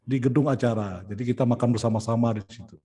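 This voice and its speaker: A man's voice: medium pitch, fast talker, warm tone. A middle-aged man from Indonesia, an event organizer and poet, explaining plans step by step in a meeting. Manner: explaining logistics step by step, frequent "nah" and "ya". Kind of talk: planning meeting talk.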